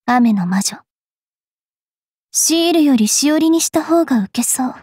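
A woman voicing a bookish, sharp-tongued young fairy witch knight in an anime mobile game, switching between prim politeness and rough taunts. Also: high voice, animated, measured pace, no room sound, clean studio sound. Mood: cool, teasing.

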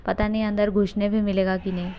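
A woman's voice: medium pitch, flat delivery, fairly quick, warm tone. A young adult woman, talking casually to her viewers as a vlogger on a handheld phone. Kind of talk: casual vlog to camera, Hindi mixed with English. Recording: noisy phone recording amid crowd and traffic.

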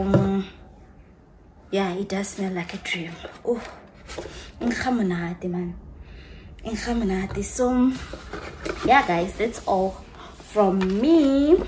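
Slow-talking woman vlogger. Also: mid-range voice, noisy background. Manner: chatty, gushing, slips into local phrases. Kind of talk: casual chat to camera, showing perfumes.

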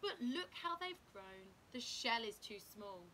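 Animated, slow-paced woman reading a storybook. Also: poor audio. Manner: animated, dramatic, deliberate. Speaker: teacher reading a picture book to children. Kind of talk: expressive children's storybook read-aloud. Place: indoor room at home. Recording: clear low background rumble noise.

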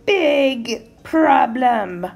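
A mean old bridge troll acted out by a woman storyteller roars in slow, drawn-out phrases. He is cranky and bullying, swinging up and down in pitch like a storybook villain, with music underneath. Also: very animated, exaggerated voice. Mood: menacing, booming. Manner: roaring, growling, bullying island-flavoured speech. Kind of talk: storybook character voice.

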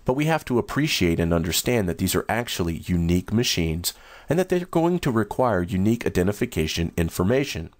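A man walking through new software features in a steady, explanatory screen-recorded demo. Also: low voice, a little fast, dry recording. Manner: steady, explanatory, first-person demo narration. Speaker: software demonstrator.

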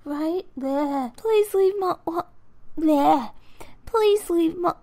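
A woman voicing an earnest young heroine in an amateur game fandub, halting over her lines. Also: very high voice, vocal fry, mellow, exaggerated voice. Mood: earnest, exasperated. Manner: halting, exasperated at own flubs.

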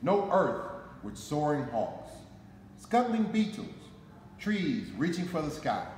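A man in his forties with an American accent reads a children's story aloud in a deliberate, dramatic way, with emphatic phrasing. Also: fairly high pitch, sing-song, darkish tone. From Detroit, United States. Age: 40s. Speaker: firefighter reading a picture book aloud. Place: concrete-floored fire station garage, indoors. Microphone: camera a short distance away. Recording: echoey hall, noticeable background noise.

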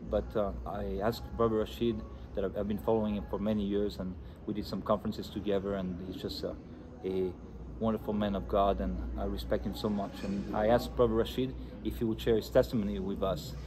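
This is a middle-aged man with a fairly low voice. He chats casually as an interviewer, introducing and questioning his guest. Clear background noise from the room sits behind his words. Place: indoor room by large windows, seated at a table. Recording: clearly noisy room ambience.

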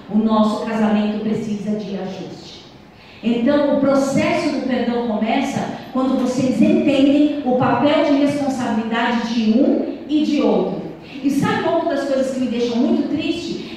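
A woman's voice: fairly high pitch, darkish tone, slight background noise. A woman in her thirties giving an earnest church talk to married couples, speaking into a handheld mic in a small church hall. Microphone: handheld mic, far camera. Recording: echoey hall from far off.

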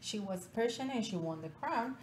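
A woman's voice: on the high side, animated, normal speed, poor audio. A woman hosting a studio interview at a desk mic, emphatic, often jumping in to agree. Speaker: show host. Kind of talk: casual interview chat. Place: small TV studio set. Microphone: desk mic on stand.

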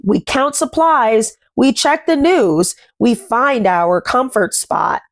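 A woman in her thirties reading a picture book aloud to children, commenting and putting questions to young listeners in simple, deliberate phrases. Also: fairly high pitch, very animated. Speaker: children's read-aloud host. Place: small furnished room at home.

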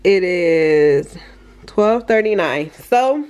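Slow-paced, lowish-voiced young woman. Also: expressive, darkish tone. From United States. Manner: informal, chatty. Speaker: vlogger. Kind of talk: casual chatty cleaning vlog. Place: home bedroom, indoors.

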